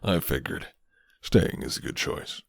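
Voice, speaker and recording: A man voicing a gruff, flirty but guarded werewolf in an intimate, murmured ASMR roleplay, with teasing pauses. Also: no echo, clean studio sound, close to an everyday voice. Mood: low, teasing. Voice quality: deep, low and gravelly.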